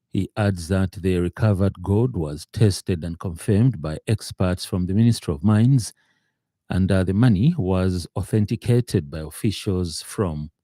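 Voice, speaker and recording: A man with a very deep, mellow voice and a Zambian accent reads the radio news. His delivery is formal and halting, and the sound is close and dry. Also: no echo. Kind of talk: scripted news bulletin. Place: radio studio.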